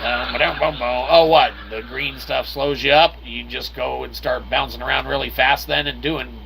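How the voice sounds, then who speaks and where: A man giving casual video game commentary in a playfully cocky manner, talking as "we", with a gravelly voice. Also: mid-range voice, fairly even intonation, normal speed, very noisy. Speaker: gameplay host.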